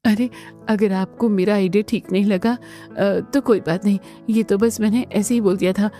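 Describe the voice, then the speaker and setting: Gentle, timid young daughter-in-law, voiced by a woman. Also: medium pitch, steady tone, normal speed, soft background music, lightly acted. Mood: hesitant, earnest. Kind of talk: Hindi family cartoon dubbing.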